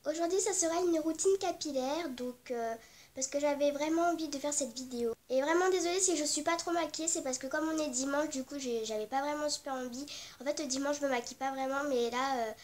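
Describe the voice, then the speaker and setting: A woman's voice: sits high, fairly quick. A young teenage girl from with a cold, chatting casually and rambling about her beauty products, apologetic and full of fillers. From Réunion. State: has a cold, stuffy. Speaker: beauty vlogger. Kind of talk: casual beauty vlog chat.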